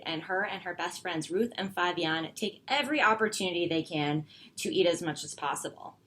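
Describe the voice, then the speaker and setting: A woman's voice: medium pitch, a bit bright. A woman author posing a trivia question in an upbeat way. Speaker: young adult author.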